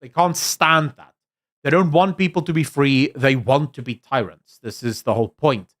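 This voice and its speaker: A young adult man from South Africa whose Afrikaans accent has been smoothed by American and British influences. He hosts a casual live stream, reading chat messages aloud. His opinions are emphatic, with frequent fillers and restarts. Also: medium pitch, normal speed, fairly bright tone. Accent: Afrikaans South African, mixed with American and British. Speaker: livestream host reading chat.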